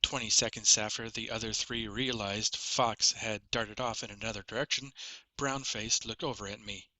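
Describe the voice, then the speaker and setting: A man's voice: on the low side, steady tone, moderate pace, pushed and bright, no room sound, low-quality recording. A man reading a first-person fantasy story as a scripted audiobook narration.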